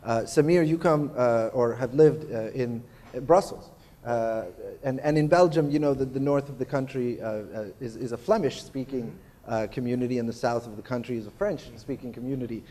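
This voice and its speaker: A man's voice: medium pitch, mellow. Male panel moderator, hesitant and filler-heavy, recorded with long hall reverb. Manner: halting, many filler sounds. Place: convention hall stage.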